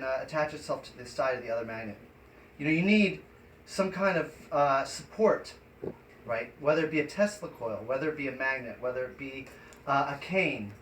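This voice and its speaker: A man hosting a casual, improvised live stream, talking in a rambling, halting way and giving directions between remarks. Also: a little high, animated, a bit bright. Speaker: live stream host and performer. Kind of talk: casual improvised livestream chat. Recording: echoey room, noisy live stream audio.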